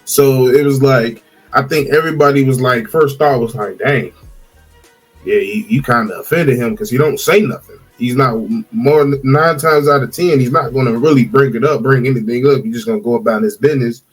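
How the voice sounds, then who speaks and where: Male co-host, middle pitch, relaxed slangy sports talk, faint music. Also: a little fast, breathy edge, low-quality recording. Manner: relaxed, slangy, loose grammar. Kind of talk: casual sports chat.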